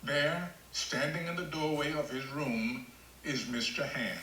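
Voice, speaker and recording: Guy narrating a script, bright clear-cut tone, echoey room, bit of hiss. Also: on the high side, a little slow. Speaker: stage directions reader.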